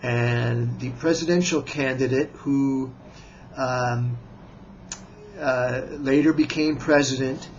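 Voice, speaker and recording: An American man in his fifties, a writer introducing himself on his video channel in a slow, measured way, with frequent hesitant pauses. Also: medium pitch, fairly even intonation, dull audio, a little hiss or hum. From United States. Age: 50s. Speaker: writer and vlogger. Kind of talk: self-introduction to camera.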